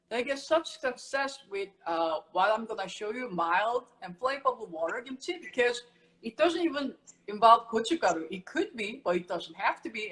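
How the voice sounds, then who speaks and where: Korean-born woman teaching kimchi, animated. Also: fairly high pitch, poor audio. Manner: animated, explains with everyday comparisons. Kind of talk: casual cooking chat.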